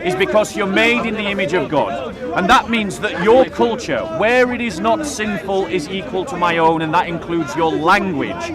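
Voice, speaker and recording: A man's voice: sits high, moderate pace. A man in an impromptu religious street debate outdoors, arguing insistently and repeating himself to press his point. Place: outdoors at a public speakers' corner. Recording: outdoors amid loud crowd chatter.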